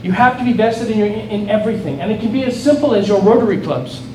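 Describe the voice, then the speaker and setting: South African man, middle-aged, high-pitched, earnest political speech, distant and echoey, noisy hall. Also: expressive, quick pace, dark tone. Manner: earnest, rallying, repeats and restarts phrases. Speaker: state legislator giving a speech. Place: event hall with an audience.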